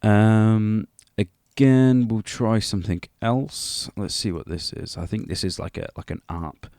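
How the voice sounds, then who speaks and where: A man's voice: fairly low pitch, steady tone, measured pace, dark tone. A man presenting a casual, unscripted music production tutorial, rambling hesitantly and a little self-deprecating.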